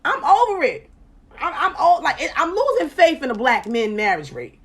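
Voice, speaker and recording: A woman hosting a casual podcast chat, animated and profane, her voice jumping loud and high when she gets excited. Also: moderate pace. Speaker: podcast host.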